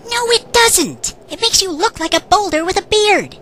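A small, exasperated fairy sidekick, played by a woman with a high, bright voice. She scolds sarcastically with lively swings, in a parody cartoon style. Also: normal speed, slight background noise, full character voice. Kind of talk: fan parody cartoon dub.

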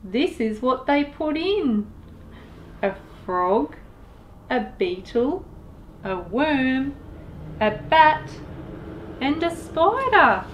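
Lady doing kids storytime, reads really really slow, kinda high voice, expressive and careful. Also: lo-fi sound, a little hiss or hum. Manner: expressive, deliberate, with sound effects and asides to listeners. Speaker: children's storytime host. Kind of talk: picture-book read-aloud for young children.

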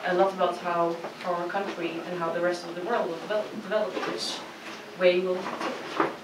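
A woman with a Swedish accent speaks earnestly on a panel, pausing with many fillers. She is heard from afar in a roomy space, with clear background noise. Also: on the low side, flat delivery. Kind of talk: panel discussion remarks. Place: seminar room with audience. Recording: distant, roomy, noisy.

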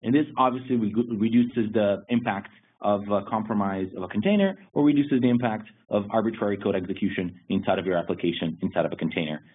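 A man's voice: fairly low pitch, slightly rough. Man explaining tech over a tinny line. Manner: explanatory, steady, with occasional restarts. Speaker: security lead presenting a webinar. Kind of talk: technical webinar presentation.

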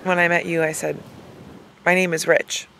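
A woman in her thirties giving a dramatic, mock-emotional reading of song lyrics, with halting, deliberate pauses, recorded outdoors on a handheld camera. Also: lowish voice, level tone, gravelly, fairly bright tone, a little hiss or hum, rough recording. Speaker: comedic video host. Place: lying outdoors in a hammock. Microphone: camera held at arm's length.

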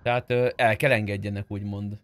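A man's voice: fairly low pitch, level tone, no echo. A man streaming a roleplay game, talking casually and argumentatively in character, with some swearing.

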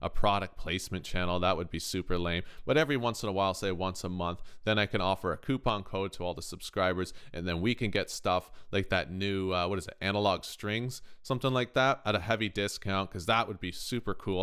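A man's voice: low-pitched, a little fast, few pauses, fairly bright tone, smooth. A man with a Canadian accent, chatting casually to viewers as a tutorial host in an informal, rambling, slangy way. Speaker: host of music production tutorials. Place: voice-over to a screen recording.

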